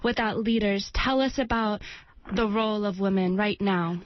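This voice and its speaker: A woman hosting a radio interview, introducing her guest and asking clear questions. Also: mid-range voice, normal speed, muffled recording, a little hiss or hum. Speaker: radio host.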